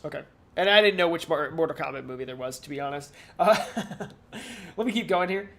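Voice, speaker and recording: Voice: medium pitch, lively intonation, a little fast, a bit bright. A podcast co-host chatting casually about pop culture, speaking in a hesitant, rambling way and often repeating words.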